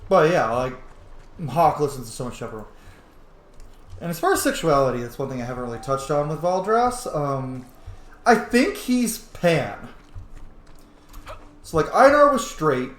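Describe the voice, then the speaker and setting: Man, higher-pitched, very animated, casual game commentary, chatty and profane, echoing room. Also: moderate pace, long pauses. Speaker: let's player narrating gameplay.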